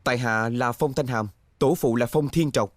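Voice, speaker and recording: A man's voice: medium pitch, normal speed, no room sound, natural voice. A man dubbing a scheming young nobleman in an ancient court drama, with a formal, courtly, insinuating manner. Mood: deferential, polite. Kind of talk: Vietnamese dubbing of costume drama.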